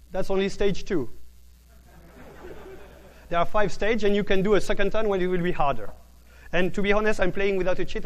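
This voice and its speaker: A man with a fairly high voice speaks from the stage at a technical conference. His delivery is flat and self-described as bored, and he often stumbles and restarts his words mid-sentence. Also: poor audio.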